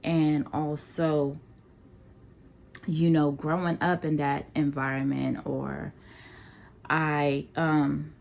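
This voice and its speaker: A woman in her thirties from Nigeria giving a personal introduction to her viewers, talking slowly and deliberately with many pauses and ums. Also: low-pitched, flat delivery, gravelly, a little mellow. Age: 30s. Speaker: vlogger. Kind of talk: casual personal introduction to camera.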